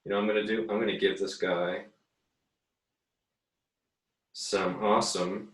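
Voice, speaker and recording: A man giving a casual drawing tutorial as an art teacher, thinking aloud in a halting, muttering way while he works. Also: low-pitched, little pitch movement. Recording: roomy echo, distant microphone.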